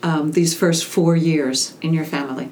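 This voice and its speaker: A woman's voice: sits low, fairly even intonation, moderate pace, a little mellow, a little hiss or hum. A woman hosting a podcast interview, affirming her guests and thinking aloud as she talks. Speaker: podcast host. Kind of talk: casual interview chat.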